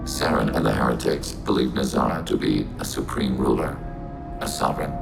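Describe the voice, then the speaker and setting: An actor voicing a calm, logical synthetic soldier, speaking in flat, precise, formal sentences. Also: male voice, very deep voice, fairly quick, creaky, full character voice. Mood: explaining. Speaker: squadmate. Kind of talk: video game voice acting. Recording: game audio with music behind.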